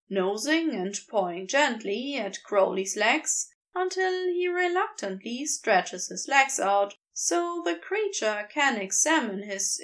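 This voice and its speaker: A woman reading a fan story aloud as narrator, in an expressive, unhurried manner. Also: a little high. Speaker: podfic reader.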